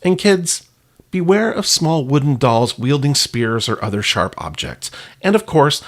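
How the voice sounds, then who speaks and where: A man's voice: mid-range voice, very animated, measured pace. A man hosting a podcast in a casual, wry, self-deprecating and informal way. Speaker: podcast host. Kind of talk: casual podcast talk with read-out news items.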